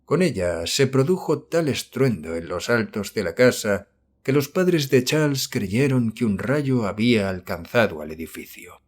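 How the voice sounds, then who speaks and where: A man narrating a horror story as an audiobook, reading in a measured, literary storytelling manner. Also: medium pitch, lively intonation. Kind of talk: scripted narration of a horror novel.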